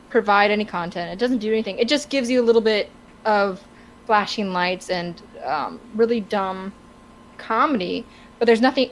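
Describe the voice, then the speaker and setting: A woman co-hosting a podcast in casual, opinionated discussion, halting and stammering as she searches for words. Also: medium pitch, rough recording. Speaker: podcast co-host. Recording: clear background noise.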